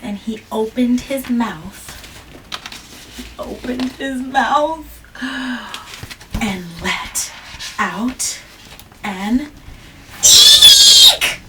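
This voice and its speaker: Lady doing a slow storybook read-aloud w/ whispery bits, kinda far from the mic, hissy room. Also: high-pitched. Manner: gentle, slow, dramatic whispers and gasps. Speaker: children's book reader. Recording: distant mic with background hiss.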